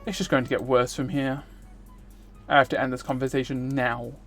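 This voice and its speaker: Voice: medium pitch, little pitch movement, normal speed, fairly bright tone, faint music behind, lightly acted. A streamer reading a self-important, grumbling young man from a visual novel. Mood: pompous, exasperated.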